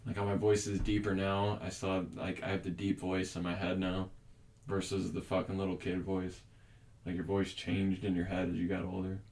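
Low-voiced young man, rambling casual talk. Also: completely flat delivery, moderate pace, a little mellow, recorded from a distance. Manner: profane, rambling, argumentative. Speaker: podcast host. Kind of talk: casual chat.

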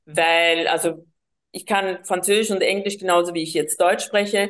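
Woman from colombia, slightly low pitch, hesitant with fillers, interview guest. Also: steady tone, moderate pace, a bit bright. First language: Spanish. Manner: hesitant, many fillers and restarts. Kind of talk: personal storytelling in an interview.

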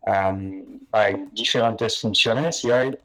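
Male guest, slow and hesitant, low voice, lots of ums. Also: fairly bright tone, faint music behind, rough recording. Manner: hesitant, many filled pauses. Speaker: exchange founder, guest. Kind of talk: casual interview talk.

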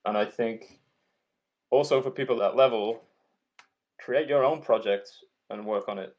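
A man with a Yorkshire accent, a guest speaking frankly and relaxed in a casual interview. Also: medium pitch, moderate pace, lo-fi sound. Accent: Yorkshire English. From Yorkshire, England.

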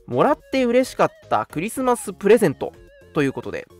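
Lively, higher-pitched young man hosting radio. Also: fast. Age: young adult. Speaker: co-host of a live radio talk show. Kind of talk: casual radio chat with announcements. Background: background music.

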